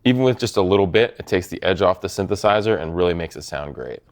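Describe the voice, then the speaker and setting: Low-voiced man explaining gear over synth tones. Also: lively intonation, quick pace, faint music behind. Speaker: product presenter from a synth maker. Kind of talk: product demonstration and explanation.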